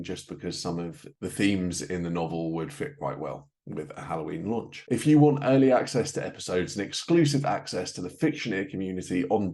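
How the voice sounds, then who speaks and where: A man's voice: sits low, a little mellow. A man hosting a podcast in an informal, self-deprecating way. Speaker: podcast host.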